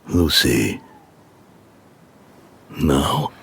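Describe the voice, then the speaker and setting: An actor playing a menacing, taunting male vampire in a radio drama, with a gravelly voice and terse, commanding delivery. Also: very deep voice, huge pitch swings, very slow, a bit bright, heavily acted.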